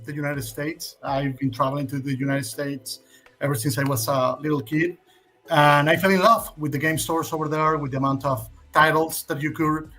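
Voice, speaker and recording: A man's voice: a little high, bright, forceful tone. A man in his forties from Mexico, a native Spanish speaker with a Mexican Spanish accent, giving opinionated answers as a guest on a casual stream, with frequent fillers and restarts.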